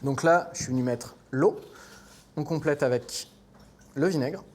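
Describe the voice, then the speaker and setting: A man's voice: on the high side, a little slow, darkish tone. Man demonstrating cooking, noisy kitchen. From Normandy, France. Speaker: chef. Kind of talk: cooking demonstration with casual chat.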